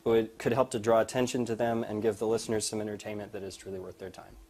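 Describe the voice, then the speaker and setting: A man's voice: on the low side, level tone, quick pace, background noise. A man giving a student project presentation.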